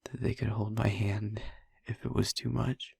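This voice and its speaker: A man playing a traumatised young vampire boyfriend in an intimate audio roleplay, speaking in a breathy hush with halting pauses. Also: on the low side, little pitch movement, dry recording, full character voice. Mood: fragile, reassuring himself. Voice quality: breathy, whispery. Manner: halting, hushed, pausing mid-sentence.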